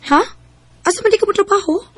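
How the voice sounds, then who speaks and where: High-voiced young Bisaya mother. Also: fast. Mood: weary, hopeful. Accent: Bisaya Filipino. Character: hard-working, struggling young single mother, tender and cautious. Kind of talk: Bisaya radio drama acting.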